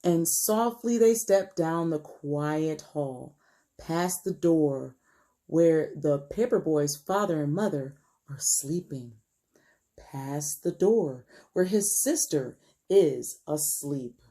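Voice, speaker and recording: A woman teacher reading a picture book aloud to young children in an expressive, gentle storytelling manner and putting questions to her pupils. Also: low-pitched, slow.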